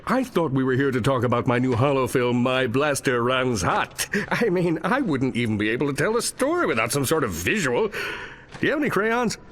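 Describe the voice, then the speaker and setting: A man's voice: medium pitch, sing-song, moderate pace, fairly bright tone. A man playing a vain, dim-witted, boastful superhero in a video game, with a pompous, theatrical manner. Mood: smug. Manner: pompous, self-important, theatrical. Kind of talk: video game cutscene acting.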